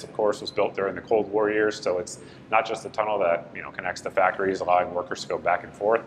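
A man's voice: deep voice, a little hiss or hum, rough recording. A man, casually talking viewers through a factory tour and then showing gear in an office, with lots of fillers and self-corrections. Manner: relaxed, chatty, many fillers and self-corrections. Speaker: gun channel host. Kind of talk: casual walkthrough vlog. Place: loud factory floor, then a small office room.

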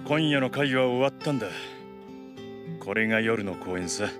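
A man voicing a big, gruff, protective adult tomcat in a children's cartoon, laconic and stern. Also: medium pitch, expressive, normal speed, over loud music, close to an everyday voice. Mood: gently gruff. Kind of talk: Japanese animated children's cartoon acting.

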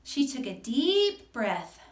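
High-voiced, unhurried woman telling stories. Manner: animated, encouraging, simple clear phrasing. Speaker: children's story time host. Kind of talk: expressive storytelling for young children. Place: small room with cloth backdrop. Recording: roomy echo, music behind.

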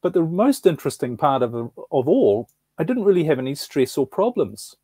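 A middle-aged man talks over a video call from a small room, mellow and a touch higher-pitched. He tells his story reflectively, often stopping to restart a thought. The sound is dry and close. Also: sing-song, moderate pace, husky, no echo. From New Zealand. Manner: reflective, frequent restarts and self-corrections. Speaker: guest. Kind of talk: interview storytelling.

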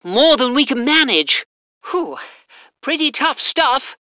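An eager British train crew soldier from a war strategy game, reports in clipped military phrases. The voice is high and forceful, swinging widely in pitch. It sounds dutiful and keen to serve. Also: female voice, big pitch swings, slow pace, dry recording, clean studio sound, full character voice. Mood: doubtful. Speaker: game voice actor.

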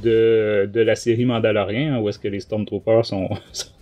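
A middle-aged man with a Québécois French accent, hosting a casual podcast chat in hesitant, halting phrases. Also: on the low side, little pitch movement, dark tone, muffled recording. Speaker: podcast host. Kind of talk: casual talk show chat.

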